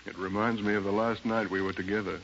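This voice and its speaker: A man's voice: on the low side, steady tone, a bit bright. Despairing, ailing older man, old radio. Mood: wistful, desperate. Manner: melodramatic, halting, imploring. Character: despairing, ailing older man, former lover, bitterly pleading. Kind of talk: 1930s radio drama acting. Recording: muffled, noisy 1930s radio recording.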